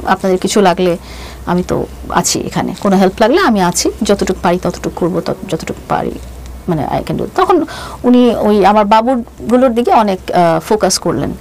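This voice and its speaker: A woman's voice: mid-range voice, animated, normal speed, darkish tone. A middle-aged woman with a Bangladeshi accent telling her personal story as an interview guest, mixing in English phrases and often restarting as she hesitates. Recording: noticeable background noise.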